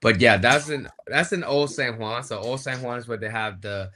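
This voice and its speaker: A man's voice: mid-range voice, moderate pace, bright, forceful tone, slight background noise. A man, a wrestler, chatting as an interview guest and explaining things in a plain-spoken, casual way.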